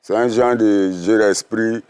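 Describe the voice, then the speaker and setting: A man's voice: on the low side, steady tone, slow pace, a little mellow, clear, resonant tone. A man with an Ivorian accent who gives laid-back, slang-filled verdicts as a panelist.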